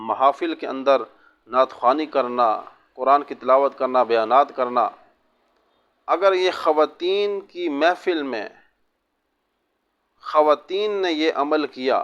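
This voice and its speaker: Man, Islamic preacher, mid-pitched voice, didactic sermon delivery, measured phrasing, muffled low-fidelity audio. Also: a bit bright. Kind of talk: religious sermon answering a question on Islamic rulings.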